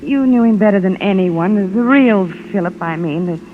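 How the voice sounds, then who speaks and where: An actress playing a dignified, wistful widow in a 1950s radio drama, speaking with poise and halting phrases. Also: female voice, mid-range voice, lightly acted. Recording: tinny, noisy vintage radio recording.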